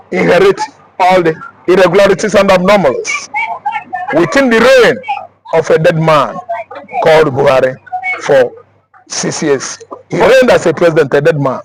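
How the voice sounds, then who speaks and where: Emphatic high-voiced Nigerian man. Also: moderate pace, background noise. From Anambra State, Nigeria. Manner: emphatic, repetitive, declaiming. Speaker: interviewee. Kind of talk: heated street interview talk.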